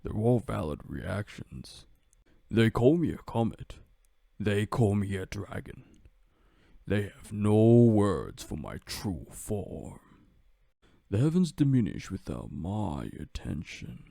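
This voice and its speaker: A man voicing an ancient, imperious game dragon with a rough, gravelly, pompous delivery. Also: fairly low pitch, very animated, takes it slowly, warm tone, no echo, clean studio sound, full character voice. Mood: haughty, disdainful. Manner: pompous, grand. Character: haughty, imperious cosmic dragon of immense age.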